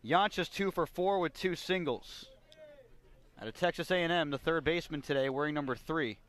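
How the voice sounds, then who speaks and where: An American man works as a radio play-by-play announcer at a baseball game. His voice sits a little higher than average for a man, and he delivers live commentary on the game. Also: moderate pace, a bit bright. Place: ballpark radio broadcast.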